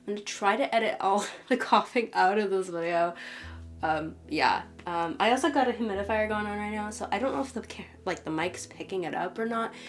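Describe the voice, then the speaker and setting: A woman with a cold talks through a casual home video, her voice nasal and stuffed up. She rambles and corrects herself in a mid-range voice. Faint music plays softly behind her. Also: mid-range voice, a little fast. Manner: rambling, talkative, self-correcting. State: sick with a cold, stuffy nose. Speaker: vlogger. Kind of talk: casual chatty show-and-tell. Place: home, humidifier running.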